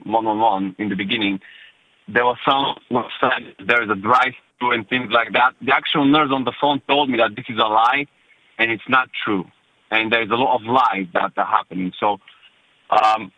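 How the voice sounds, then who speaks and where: A young man calls in on a dull, dry phone line. His voice is on the low side. He speaks earnestly, halting and reaching for words. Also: no echo. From Bulgaria. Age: 20s. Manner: earnest, halting, with many fillers. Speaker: caller.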